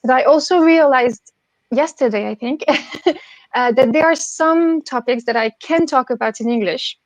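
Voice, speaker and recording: French woman with a high voice. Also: unhurried, dry recording, rough recording. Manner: hesitant, many fillers and restarts, laughs often. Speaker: guest. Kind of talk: casual conversation.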